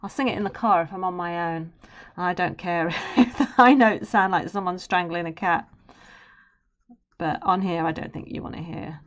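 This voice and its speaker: Woman, deep-ish voice, rambling stream chatter, muffled lo-fi audio. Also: moderate pace. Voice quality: naturally low voice. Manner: chatty and rambling, drifting into murmurs and humming while she works. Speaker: streamer and artist. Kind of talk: casual live-stream chat while drawing.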